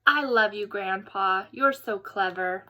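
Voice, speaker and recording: A woman voicing an eager, admiring young male bear cub in a children's storybook, excited and affectionate. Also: medium pitch, takes it slowly. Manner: excited, wide-eyed, affectionate. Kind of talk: children's storybook read-aloud.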